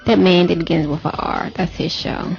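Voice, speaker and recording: American woman in her forties, creaky voice. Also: lowish voice, steady tone, normal speed, dull audio. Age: 40s. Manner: playful, repeats phrases for emphasis. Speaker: radio talk show host. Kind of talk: casual chat. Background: music.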